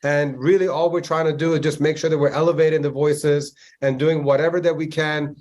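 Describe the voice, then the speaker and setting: A man's voice: on the high side, level tone, a little mellow. Thoughtful man in his forties. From Germany, of Persian family, moved to the US at ten. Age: 40s. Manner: thoughtful, measured, with frequent "like" fillers. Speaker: podcast guest, community organizer. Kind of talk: reflective interview answers. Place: Miami.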